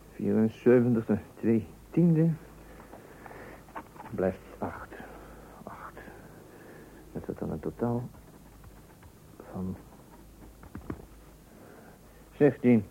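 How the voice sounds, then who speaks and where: An actor playing an excitable, fretting husband in a radio play, blustering and theatrical about household matters. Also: male voice, lowish voice, very slow, creaky, pauses often, a little hiss or hum, exaggerated voice. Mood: gloomy reckoning. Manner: blustering, dramatic, emphatic repetition. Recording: muffled narrow-band old radio recording.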